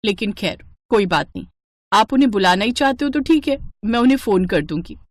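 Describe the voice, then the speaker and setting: A woman's voice: mid-range voice, animated, brisk, dry recording, lightly acted. Woman playing a falsely polite rich wife, dry sound. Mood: dismissive. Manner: placating, excuse-making. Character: status-conscious wealthy wife, evasive and falsely polite.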